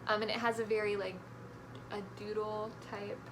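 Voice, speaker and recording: A woman's voice: medium pitch, completely flat delivery, normal speed. Woman guest chatting over noticeable background noise. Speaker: guest on a book vlog. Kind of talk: casual book chat.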